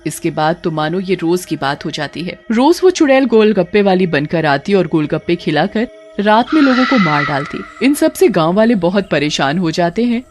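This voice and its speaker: A woman's voice: lowish voice, sing-song, moderate pace, few pauses, darkish tone. A woman narrator reading a scripted story in a dramatic storytelling style. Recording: music behind the voice.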